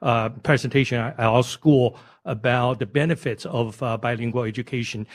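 Halting man pitching an idea. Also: steady tone. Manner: hesitant, many pauses and restarts. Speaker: guest pitching a show idea.

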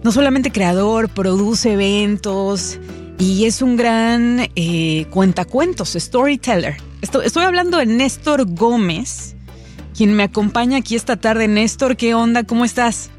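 A woman's voice: a little high, expressive, unhurried, soft background music. Woman hosting live radio, chatty. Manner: warm conversational, eager interjections. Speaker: radio host. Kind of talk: live public radio interview. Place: radio studio. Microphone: studio microphone.

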